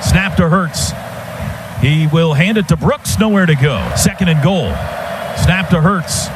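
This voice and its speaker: A man with a high voice calls live American football on the radio. He speaks in short, urgent bursts, tracking each move as it happens, with clear outdoor stadium noise around him. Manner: clipped rapid phrases, building excitement. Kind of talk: live sports commentary.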